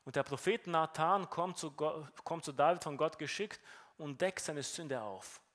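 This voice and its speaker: A man's voice: on the high side, normal speed, fairly bright tone. A man with a Romanian accent preaching a sermon in an earnest teaching tone, often repeating words as he restarts.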